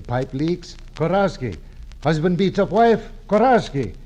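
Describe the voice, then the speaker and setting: An actor playing an earnest middle-aged immigrant janitor who speaks broken English, in 1940s radio acting style. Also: male voice, on the high side, big pitch swings, slow pace, warm tone, exaggerated voice. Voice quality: broken English, humble and eager.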